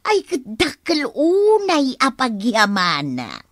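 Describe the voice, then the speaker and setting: A wheedling village auntie in a radio comedy drama, with an Ilocano accent. Her voice swoops up and down as she flatters and exclaims to get favours, recorded close and dry. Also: sits high, slow, no room sound, exaggerated voice. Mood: wheedling, grateful. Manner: coaxing, exclamatory.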